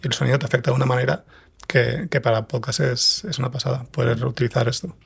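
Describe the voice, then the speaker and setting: Man, mid-pitched, husky, gravelly creak, interview guest explaining. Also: steady tone, fast, fairly bright tone. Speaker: podcast guest, scriptwriter and fiction podcast creator.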